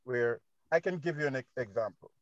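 A young Jamaican man talks casually in a mid-pitched voice, often restarting and repeating phrases. The recording is dull and dry, like a phone video call. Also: animated, fairly quick, dry recording. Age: young adult. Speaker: panelist, business owner. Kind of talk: casual anecdotal talk.

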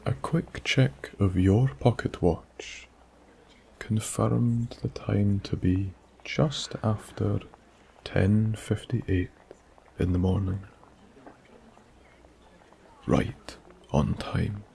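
A man with a Scottish accent narrating a soft-spoken bedtime story in a slow, hushed, soothing way that drifts into whispers. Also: on the low side, creaky, a little hiss or hum, poor audio.

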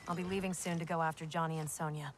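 Woman as a brisk, low-pitched officer. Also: fairly even intonation, background noise, close to an everyday voice. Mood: firm. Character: brisk, commanding young female military officer. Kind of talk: video game cutscene acting.

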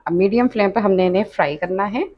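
A woman's voice: mid-range voice, quick pace, dull audio. Chatty woman narrating a cooking tutorial. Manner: chatty, instructive, often says "achha" and "dekhiye". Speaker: cooking channel host. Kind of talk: step-by-step cooking tutorial voice-over. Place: home kitchen.

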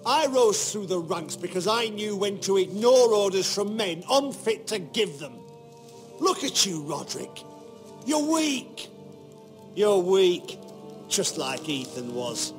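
Bitter, defiant knight for a fantasy game; scornful, slow-paced, highly expressive. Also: male voice, faint music behind, exaggerated voice. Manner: scornful, forceful, deliberate. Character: bitter, defiant older castle knight turned traitor. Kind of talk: dramatic video game acting.

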